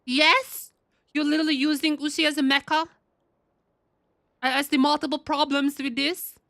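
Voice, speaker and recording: A woman game streamer giving casual, expressive live commentary, often repeating her phrases. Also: high voice, normal speed, no echo, clean studio sound.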